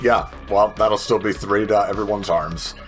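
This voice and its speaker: A man's voice: fairly low pitch, steady tone, normal speed, soft background music. A man playing a tabletop game, casually talking through rules and describing what his character does.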